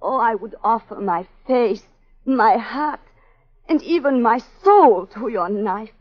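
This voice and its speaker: A woman's voice: on the high side, expressive, takes it slowly. Casting: hard-bitten, scarred young woman, clipped and theatrical, vintage radio melodrama, dull lo-fi sound. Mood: bitter, impassioned. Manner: clipped, defiant, theatrical delivery. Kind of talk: 1940s radio melodrama acting. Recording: muffled old 1940s radio recording.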